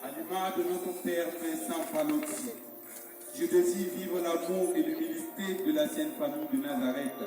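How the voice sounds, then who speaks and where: A man reciting devotional poetry in a solemn, measured, rhetorical way. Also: a little high. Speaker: poet reciting his own religious poem. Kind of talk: declaimed French spoken-word poetry with a sung opening hymn. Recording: very echoey hall, distant, music behind. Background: music.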